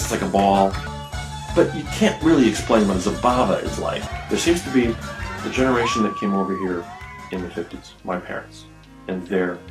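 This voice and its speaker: A middle-aged American man giving a reflective documentary interview, seated in a dim studio. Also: on the low side. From United States. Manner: reflective, gestures while talking. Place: dim studio with acoustic panels. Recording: roomy interview with music behind.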